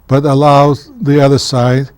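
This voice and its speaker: A man in his seventies, from Poland, telling his life story earnestly and haltingly as a guest on a public access TV interview. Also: mid-range voice, flat delivery, a little mellow, smooth, no room sound. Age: 70s. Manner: earnest, halting, measured recollection. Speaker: guest author, former county commissioner.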